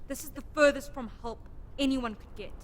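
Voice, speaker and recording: A woman's voice: high voice, normal speed, slight background noise, close to an everyday voice. A woman playing a stern, protective wasteland rescuer in an audio drama, firm, matter-of-fact and easily exasperated.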